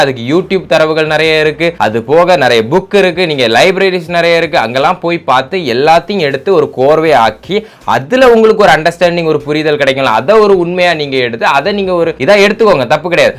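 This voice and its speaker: A man with a fairly high voice talks very quickly. He delivers opinionated political commentary in an argumentative way, throwing out rhetorical questions and dropping in English words. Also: fairly bright tone.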